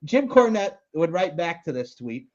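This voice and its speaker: A man with a New York accent rants on a podcast, his pitch swinging up and down as he swears and mocks. His voice sits fairly high for a man. The sound is a little dull and lo-fi. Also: normal speed. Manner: loud, animated, swearing, mocking impressions. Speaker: podcast co-host. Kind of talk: profane wrestling podcast rant.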